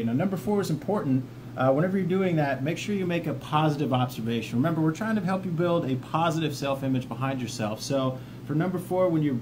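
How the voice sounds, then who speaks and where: A man with a medium-pitched voice hosts an informal instructional vlog. He is plain-spoken and encouraging, moving between reading notes and casual explanation. Clear ambient noise sits behind his voice. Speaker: vlog host, peer mentor for trauma coping. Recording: clearly noisy ambient background.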